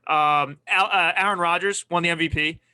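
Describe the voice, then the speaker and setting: A man with a fairly high, very bright voice co-hosts a sports podcast. He talks in casual banter, and the sound is close and dry. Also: steady tone, normal speed, clear, resonant tone, dry recording. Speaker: podcast co-host.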